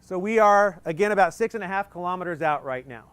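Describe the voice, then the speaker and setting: Man in his thirties, slightly high voice, easygoing demo presenter who talks things through and cracks small jokes; recorded under an outdoor tent. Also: animated, fast, a bit bright, clean tone. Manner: casual, joking, explains step by step with many fillers. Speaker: presenter at a live equipment demonstration. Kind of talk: live technical demo talk.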